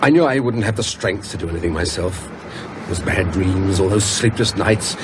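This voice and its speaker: Fretful, low-voiced man acted, noisy background. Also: moderate pace, close to an everyday voice. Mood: weary, resolute. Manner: fretful, resentful. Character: anxious, weary, haunted man under threat. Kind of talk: radio drama acting. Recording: loud vehicle rumble and ambience.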